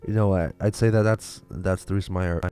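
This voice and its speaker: A man's voice: low voice, steady tone, brisk, mellow, clear, resonant tone. A young man from Lithuania with a Lithuanian accent, chatting casually on a livestream and answering viewer questions, with many fillers and restarts. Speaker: livestream host.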